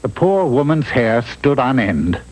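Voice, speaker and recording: A man's voice: medium pitch, sing-song, unhurried, a little mellow. A man narrating a comic fairy tale for a cartoon, as a theatrical, emphatic storyteller. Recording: old lo-fi TV cartoon soundtrack.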